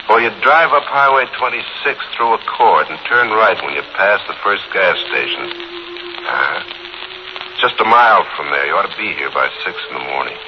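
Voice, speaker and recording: Low voiced gangster killer, cool n taunting, swingy delivery, 1940s radio thriller. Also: male voice, muffled recording, full character voice. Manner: smooth taunting menace, clipped orders. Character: wounded male escaped killer, cool, menacing, mock-courteous gangster. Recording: noisy band-limited 1940s radio recording.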